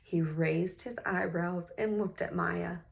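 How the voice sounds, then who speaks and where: A woman reads a children's story at an unhurried pace, with noticeable vocal fry. She sounds like an encouraging teacher, stopping often to ask the listeners questions. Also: lowish voice, fairly even intonation. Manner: encouraging, teacherly, pausing and gasping theatrically. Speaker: read-aloud host, teacher-like reader. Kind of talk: children's picture book read-aloud with questions to the listeners.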